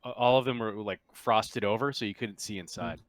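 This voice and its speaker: A man narrating a tabletop roleplaying game as game master, casually and with halting pauses. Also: on the low side, no room sound.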